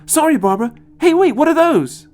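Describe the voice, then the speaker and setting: An eager teenage granddaughter as a man puts her on in a storybook reading. She is pitched very high, teasing and lilting, and swings widely in tone over music. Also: very animated, fairly quick, darkish tone. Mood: curious.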